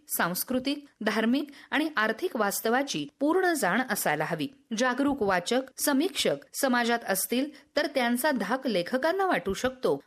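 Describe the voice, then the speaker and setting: Woman, radio news presenter, highish voice, animated intonation, scripted delivery.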